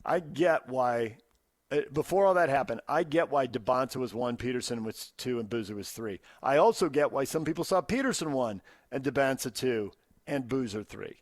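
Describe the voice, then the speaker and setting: A man's voice: mid-range voice, animated, normal speed, no echo, clean studio sound. An American man co-hosting a sports radio show, arguing his opinions point by point in unscripted debate.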